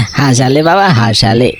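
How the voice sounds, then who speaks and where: A man's voice: medium pitch, lively intonation, slow pace, slight background noise. A man voicing a cocky, sceptical young landlord's son back from the city in a cartoon. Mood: mocking, annoyed. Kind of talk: dramatized cartoon dialogue.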